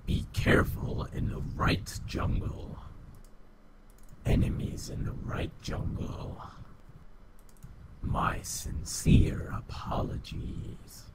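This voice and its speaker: Creepy grim reaper game voice, super slow whisper w/ heavy effects, noisy, typing behind. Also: male voice. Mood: eerily polite. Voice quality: hard to understand, whispery. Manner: slow, short warnings and remarks. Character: scary invisible male Reaper, menacing battlefield announcer. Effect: heavily edited voice effects. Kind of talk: video game voice lines.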